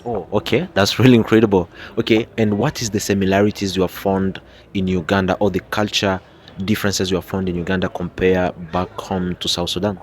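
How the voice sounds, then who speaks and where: A man's voice: low voice, little pitch movement, brisk. Encouraging male street interviewer. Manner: short prompts and encouraging affirmations. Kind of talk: casual interview questions.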